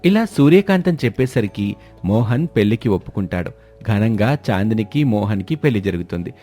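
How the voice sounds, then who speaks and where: A man's voice: lowish voice, sing-song, brisk, mellow, faint music behind. A man narrating a scripted moral story as its storyteller.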